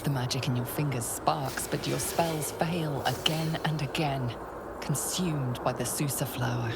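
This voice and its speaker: An actress narrating a fantasy game as a grave, ominous storyteller, measured and hushed with a breathy edge. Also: female voice, very deep voice, fairly even intonation, very noisy, heavily acted. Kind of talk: scripted second-person game narration.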